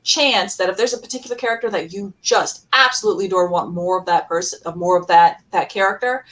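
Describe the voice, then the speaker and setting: A woman co-hosting a casual fan podcast, gushing and emphatic, often doubling back on her phrases in a halting way. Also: medium pitch, steady tone, a bit bright. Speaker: podcast co-host. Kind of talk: casual fan panel discussion.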